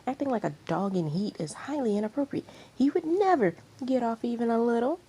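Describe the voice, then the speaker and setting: A woman's voice: fairly high pitch, animated, a little fast, warm tone, slightly rough, voice pushed up. A woman voicing a prim, indignant male manager defending his client, with faint background noise. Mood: defensive.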